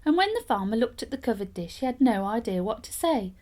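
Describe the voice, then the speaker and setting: A woman reading a fairytale aloud as an expressive storyteller. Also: on the high side, fairly quick.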